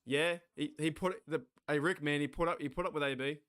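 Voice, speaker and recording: An Australian man hosting a sports watch party stream, rambling excitably, swearing and talking back to his chat. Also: fairly high pitch, a little fast. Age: 40s.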